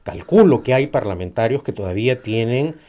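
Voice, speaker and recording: A man's voice: medium pitch, animated, a little fast, mellow. A middle-aged Peruvian man being interviewed about politics, arguing emphatically with frequent hesitations. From Peru. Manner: emphatic, argumentative, many hesitations. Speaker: political guest.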